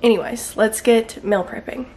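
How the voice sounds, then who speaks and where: A young woman in her twenties chatting casually about her groceries in a home kitchen, bubbly and quick to laugh. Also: a little high. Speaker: lifestyle vlogger. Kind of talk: casual grocery haul chat.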